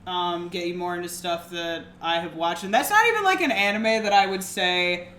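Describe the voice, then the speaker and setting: A woman's voice: fairly low pitch, normal speed, a bit bright. A woman in her twenties co-hosting a podcast, rambling casually with lots of fillers. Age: 20s. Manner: chatty, rambling with many fillers. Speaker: podcast co-host. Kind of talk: casual chat. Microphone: one shared microphone. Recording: roomy room, shared mic.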